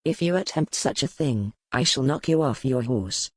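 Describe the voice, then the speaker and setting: Stern warrior duke for a historical romance audiobook, played by a woman: pitched well down, terse, courtly, dry close recording. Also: expressive, breathy edge, no echo, exaggerated voice. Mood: dryly amused. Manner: formal, terse, measured courtly phrasing. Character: gruff commanding medieval duke, a stern male warrior.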